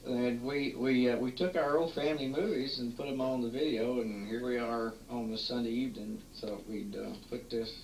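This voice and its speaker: A man, casually narrating old family home movies at home with many halting pauses and restarts. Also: mid-range voice, steady tone, a little mellow. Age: 40s. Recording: roomy, distant, faint hiss, 1980s home tape.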